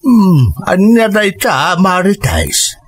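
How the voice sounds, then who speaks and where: A man playing an elderly, gentle rural father who speaks slowly and reassuringly in a radio drama. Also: high voice, huge pitch swings, breathy edge, exaggerated voice. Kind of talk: Ilocano radio drama acting.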